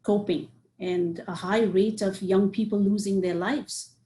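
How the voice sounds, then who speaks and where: Earnest woman, mellow voice. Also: mid-range voice, steady tone, a little slow, a little hiss or hum. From Nepal. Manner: earnest, listing points with frequent "you know". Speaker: psychiatrist guest. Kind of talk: interview answers.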